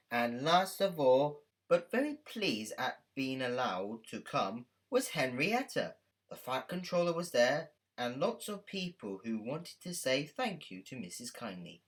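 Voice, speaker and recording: A woman narrating a children's story from a script in an expressive, deliberate way. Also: rumbling low. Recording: music under the voice. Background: music.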